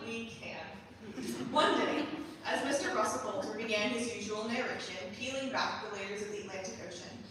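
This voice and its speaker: A young adult woman giving a prepared, measured introduction as a student composer presenting her staged reading. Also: on the high side. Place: large performance hall. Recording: very echoey hall, distant mic, faint noise.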